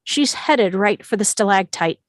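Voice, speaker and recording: A woman's voice: medium pitch, expressive, unhurried, no echo, clean studio sound. A woman reading stage directions as the narrator of a live script table read.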